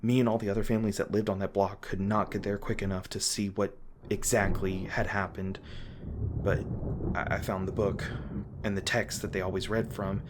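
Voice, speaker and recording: Man acting a low-voiced, guilt-ridden monster hunter. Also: little pitch movement, close to an everyday voice. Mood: grim, haunted. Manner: halting, stammering, emotional, swearing. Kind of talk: intimate dramatic audio roleplay addressed to listener. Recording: noticeable background noise and ambience.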